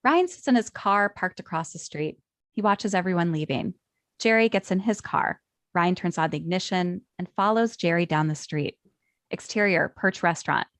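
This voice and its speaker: A woman's voice: fairly low pitch, normal speed, a bit bright, dry recording. A woman reading scripted stage directions as narrator at a table read.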